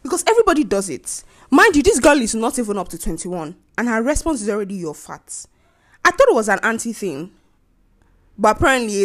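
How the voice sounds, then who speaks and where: A young woman in her twenties with a Nigerian accent, hosting a solo podcast and venting in a casual, animated way about rude remarks and being overcharged. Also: medium pitch. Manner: animated, indignant storytelling with mocking quoted voices. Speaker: podcast host.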